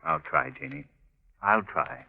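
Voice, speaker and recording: Smooth urbane gentleman sleuth, teasing, really animated, old 40s radio play, tinny sound. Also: a bass voice, slow, over music, full character voice. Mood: reassuring. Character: suave, playful gentleman detective and clubman. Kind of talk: 1940s radio drama acting. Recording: thin, tinny vintage radio recording.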